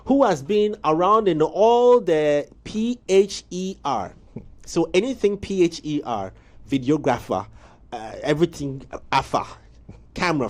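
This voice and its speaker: A man hosting a morning studio interview, telling stories in a lively way with laughs. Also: fairly high pitch. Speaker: morning show host.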